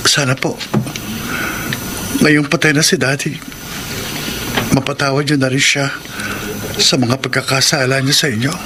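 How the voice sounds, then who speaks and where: A man's voice: mid-range voice, fairly even intonation, measured pace, long pauses, noisy background, lightly acted. A man acting a grieving, respectful young nephew who speaks earnestly and politely in a Filipino radio drama. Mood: grieving, pleading.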